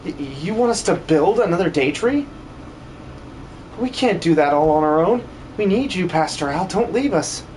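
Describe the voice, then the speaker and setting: A man's voice: high voice, animated, normal speed, a little mellow, a little hiss or hum, pitched above the performer's own voice. A man voicing a distraught young farm girl, a devoted apprentice in a fantasy game fan dub, pleading and stammering.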